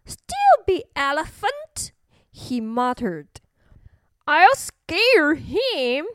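A woman's voice: high-pitched, wildly swooping pitch, extremely slow, no echo, clean studio sound, full character voice. A woman voicing a nasty, blustering male bully bird with a screechy voice in a children's story. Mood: muttering spite. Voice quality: screechy, shrieking. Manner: scoffing, blustering.

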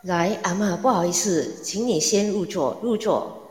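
A woman's voice: mid-range voice, measured pace, a little mellow, lightly acted. A woman playing a polite, patient flight attendant in a comic radio drama, with echoey reverb.

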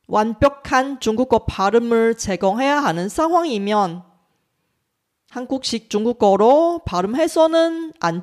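A woman from Taiwan, a native Chinese speaker with a Taiwanese accent, giving a scripted lesson as a language teacher in a careful, measured way. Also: on the high side. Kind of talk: scripted educational narration.

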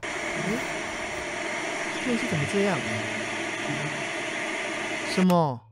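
A man's voice: high voice, expressive, drawn-out words, very noisy, exaggerated voice. A young man with a Taiwanese accent playing an impatient college student grandson in an everyday radio drama, speaking casually and expressively. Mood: pleading, exasperated. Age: young adult. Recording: music bed behind the voice. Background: music.

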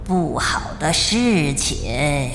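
A solemn, mysterious old shop owner, lowish and extremely slow. The delivery swings ominously with dramatic pauses, drenched in echo like a remembered warning in a radio drama. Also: female voice, lively intonation, slight background noise, heavily acted. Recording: echoing long reverb, faint ambience.

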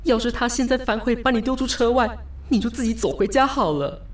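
A woman's voice: fairly high pitch, fairly quick, natural voice. A woman with a Taiwanese Mandarin accent playing a flustered, self-mocking young woman in an audio drama, hesitant and polite aloud, blunt when muttering to herself. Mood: self-mocking exasperation. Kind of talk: audio drama acting with spoken inner monologue.

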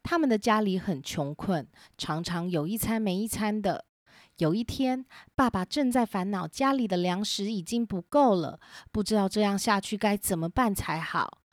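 A woman tells a scripted story for children as its host and narrator. Her pitch is average for a woman, and the sound is dry and close. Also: moderate pace, no echo.

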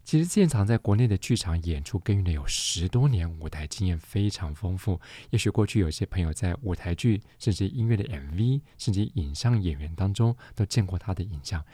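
A man's voice: low-pitched, expressive, a little fast, few pauses, mellow, husky, dry recording. A man with a Taiwanese accent hosting a podcast interview in a polished, articulate broadcast delivery. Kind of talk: scripted podcast introduction and interview.

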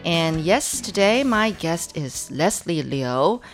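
A middle-aged woman with a low, grounded voice hosts an interview show. She talks in a loose, chatty way, nudging her guest along with friendly questions and little fillers. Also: very animated, slow pace, faint music behind. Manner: chatty, encouraging, frequent fillers. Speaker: radio show host. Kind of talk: conversational interview.

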